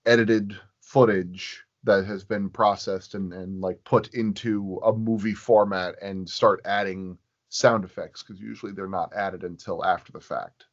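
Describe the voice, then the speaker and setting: A man with a fairly low voice talks casually as a podcast guest. He explains himself in a halting, rambling way, feeling out each phrase. The recording is muffled and lo-fi. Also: fairly even intonation. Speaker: sound designer, podcast guest. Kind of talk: casual interview chat. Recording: dull, lo-fi audio.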